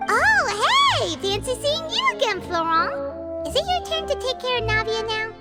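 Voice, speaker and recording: Tiny fairy companion, very high childlike voice, bouncy lively delivery, game music behind. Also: female voice, unhurried, full character voice. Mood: excited. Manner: speaks of herself in the third person. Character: tiny floating fairy companion, chatty and excitable. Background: game background music.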